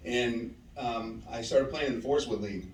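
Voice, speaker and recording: Loud man reminiscing in a big hall. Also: mid-range voice, moderate pace, slight background noise. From St. Louis area. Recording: roomy hall, voice from far off.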